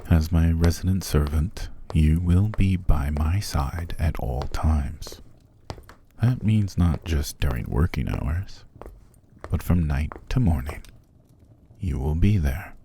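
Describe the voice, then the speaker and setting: A man playing a cruel, haughty, possessive vampire master in an ASMR roleplay, with a gravelly, breathy voice and a condescending, commanding manner. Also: rumbling low, lively intonation, mellow, a little hiss or hum, heavily acted. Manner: slow, condescending, commanding, drifting into whispers. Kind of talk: ASMR roleplay, scripted character monologue.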